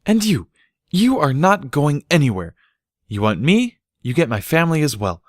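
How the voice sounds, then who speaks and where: Man putting on an indignant, high-pitched teenage girl pony. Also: very animated, moderate pace, dry recording, clean studio sound. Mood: defiant. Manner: loud, exasperated outbursts. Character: indignant, embarrassed teenage female pony, easily flustered and threatening.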